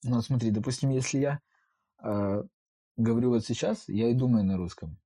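A man's voice: mid-range voice, fairly quick, dark tone, husky. A man asking probing follow-up questions in a casual conversation. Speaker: interviewer.

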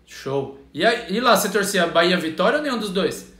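A man's voice: high-pitched, lively intonation, normal speed, pushed and bright, reverberant. A Brazilian man hosting an online interview in an enthusiastic, informal, slangy way. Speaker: interview host, physical education professional.

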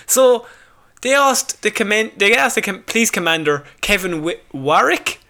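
An Irish man with a high, bright voice co-hosts a comedy podcast. His delivery swings around a lot as he teases, swears and reads out silly news in a casual, chatty way. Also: animated, moderate pace. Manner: sweary, prone to laughing, teasing. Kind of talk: casual comic banter and reading out odd news.

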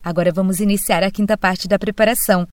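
A woman briskly reading course instructions in a lively announcing manner. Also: mid-range voice, dry recording, clean studio sound. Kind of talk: scripted instructions.

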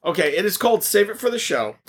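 A man co-hosting a casual podcast while losing his voice, coughing now and then and projecting loudly with many restarts. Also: high-pitched, very animated, quick pace, husky. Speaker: podcast co-host.

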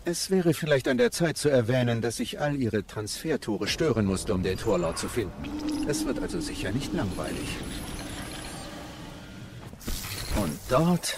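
An actor playing a clever, slightly fussy robot companion in a sci-fi game audio drama, wry and full of instructions. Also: male voice, medium pitch, big pitch swings, noisy background. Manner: wry, explanatory, brisk instructions. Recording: loud music behind the voice.

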